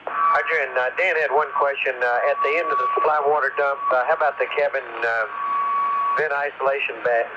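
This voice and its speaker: A man's voice: mid-range voice, steady tone. Astronaut guy talking over space radio, stop and start, muffled with lots of noise. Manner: halting, pausing delivery. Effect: radio transmission. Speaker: space shuttle astronaut, mission commander. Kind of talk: air-to-ground radio chatter.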